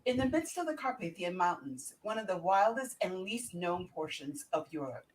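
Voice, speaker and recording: A woman's voice: fairly low pitch, very animated, normal speed, far from the mic, rough recording. A woman voicing an earnest, polite young male clerk, reading a script in a formal, deferential manner. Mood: earnest, measured. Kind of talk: amateur script read-through.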